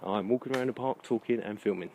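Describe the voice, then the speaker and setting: A man thinking aloud in a halting, musing way, rambling like a vlogger on a walk. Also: on the low side, dark tone. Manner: musing, halting, pausing between phrases. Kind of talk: rambling spoken-thought walking vlog about books. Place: outdoors, walking in a park. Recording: outdoor walking recording with clear background noise.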